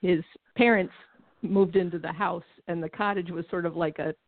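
A woman's voice: on the low side, steady tone, moderate pace, no echo. A middle-aged woman from Connecticut, a guest on a talk show, chatting casually and laughing often. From Connecticut, New England. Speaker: guest, former baseball team executive. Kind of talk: casual conversational interview. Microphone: phone call. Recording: tinny phone line, dry.

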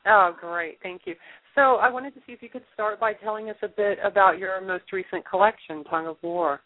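A woman host with a slight head cold, speaking in a measured, polite way as she introduces a guest and interviews him. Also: mid-range voice. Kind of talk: reading an introduction, then interviewing. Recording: narrow phone-line audio.

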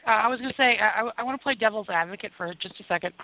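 A man who is a guest in a casual discussion, speaking in a deliberate way as he poses questions. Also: high voice, dry recording. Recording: dry, tinny phone-like line.

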